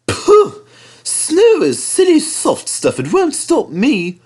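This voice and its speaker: A man voicing a boastful, excitable young tank engine in a storybook reading, exclaiming and repeating phrases emphatically. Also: extremely high pitch, slow pace, pitched above the performer's own voice, heavily acted. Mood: cocky, dismissive.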